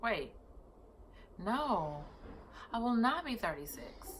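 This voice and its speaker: A woman's voice: on the high side, big pitch swings, takes it slowly, fairly bright tone, slight background noise. A woman in her thirties telling a personal story on her vlog, chatty and casual, often correcting herself mid-sentence. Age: 30s. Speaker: vlogger.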